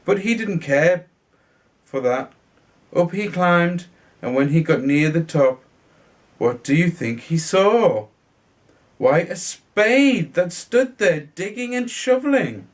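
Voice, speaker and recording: A man reading a children's fairy tale aloud in a halting way, sometimes stumbling and repeating words. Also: sits high, animated. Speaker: storybook reader.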